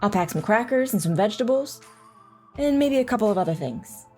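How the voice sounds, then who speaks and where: A woman's voice: mid-range voice, expressive, brisk, mellow, natural voice. A woman playing a loving, teasing mother in an audio drama, both telling the story and talking with her child. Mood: warm, agreeable. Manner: reassuring, teasing. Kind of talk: audio drama narration and dialogue. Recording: music under the voice. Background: music.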